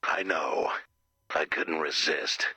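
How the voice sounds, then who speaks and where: An actor playing a menacing, brooding slasher villain with a deep raspy growl and ominous deadpan delivery, in a comic horror game. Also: male voice, takes it slowly, dry recording, full character voice.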